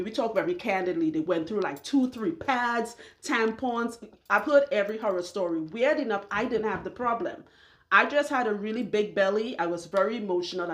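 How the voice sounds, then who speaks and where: A woman in her forties from Trinidad with a Trinidadian accent, giving candid, chatty hair care advice that wanders off on tangents. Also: mid-range voice. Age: 40s. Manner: candid, chatty, digressive, laughs often. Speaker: loctician and hair care vlogger. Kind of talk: casual advice monologue.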